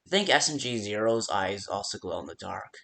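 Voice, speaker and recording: A man's voice: fairly low pitch, lively intonation, gravelly, sharp tone, heavily acted. A man playing a nervous, hesitant coworker who leaves stammered security tips by phone in an amateur horror game. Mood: instructive, casual. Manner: hesitant, halting, stammering delivery. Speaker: voice actor in fan-made game.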